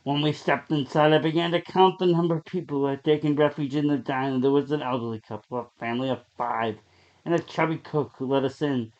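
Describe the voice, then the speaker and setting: A man reading a horror story aloud as a dramatic narrator, with deliberate, suspenseful delivery. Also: a little high, steady tone, darkish tone. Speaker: story reader. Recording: dull, lo-fi sound.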